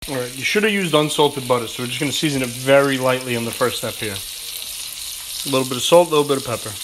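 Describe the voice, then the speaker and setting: A man's voice: medium pitch, quick pace, background noise. A young man casually narrating a home cooking video in his kitchen, joking and brash with streetwise slang. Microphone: phone camera held close.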